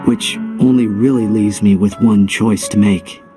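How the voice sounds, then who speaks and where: A man's voice: fairly low pitch, steady tone, unhurried, music in the background, lightly acted. An actor playing an earnest, wistful young male hero, a resolved outcast, with reflective, solemn delivery in a video game cutscene.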